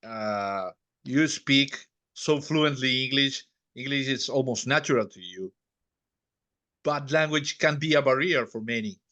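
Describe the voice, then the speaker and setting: A man's voice: medium pitch, measured pace, pushed and bright. Spanish-accented male host, dull audio. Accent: Uruguayan Spanish. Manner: hesitant questions with fillers. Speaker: podcast host. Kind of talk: casual interview conversation.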